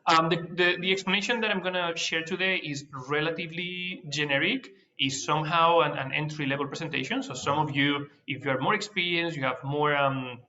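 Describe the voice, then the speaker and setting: A man with a Spanish accent gives a webinar presentation in a bright, forceful voice. He hesitates often, with many fillers and restarts. The audio is dull and lo-fi, like an online call. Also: a little high, brisk, hardly any pauses. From Spain. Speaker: managing director of a startup accelerator, guest speaker.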